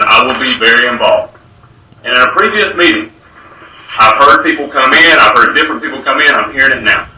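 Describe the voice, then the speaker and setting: A man's voice: mid-range voice, sharp tone. A man, a school board member giving an urgent, rallying speech to a crowd. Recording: echoey room, voice from far off.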